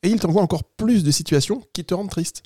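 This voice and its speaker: A man playing a soft-voiced, kindly little squirrel. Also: sits high, fast talker, slightly rough, dry recording, clean studio sound, voice pushed up. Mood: earnest, reassuring. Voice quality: soft, gentle voice. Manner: patient, explaining simply to a child. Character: kind, reassuring talking red squirrel of children's tale.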